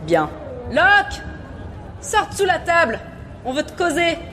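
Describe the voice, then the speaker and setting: A woman's voice: extremely high pitch, big pitch swings, takes it slowly, slight background noise, heavily acted. A woman playing a bold, frank gang leader with a commanding manner in an audio adventure drama. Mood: firm, frank. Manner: commanding, assertive. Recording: loud music behind the voice.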